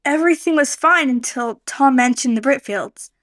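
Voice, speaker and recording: Brief: a practical young girl, high and earnest. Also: normal speed, fairly bright tone, no echo, clean studio sound. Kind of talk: radio drama acting.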